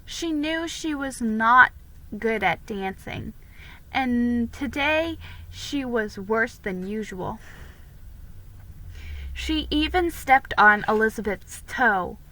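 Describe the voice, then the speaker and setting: A woman's voice: on the high side, expressive, slow pace, sharp tone, poor audio. A woman giving a dramatic reading of a children's historical story, stumbling and restarting here and there. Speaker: reader.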